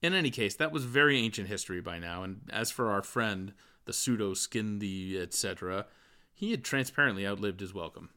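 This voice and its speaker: Lower-voiced man reading literary fiction. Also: expressive, a little fast, bright, forceful tone, clean tone. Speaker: audiobook reader. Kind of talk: expressive literary narration.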